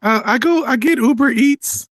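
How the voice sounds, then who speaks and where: A man calling in to a show, shy and halting, with a soft sweet voice over an online call. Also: extremely high pitch, no room sound. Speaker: call-in listener. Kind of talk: comic call-in bit.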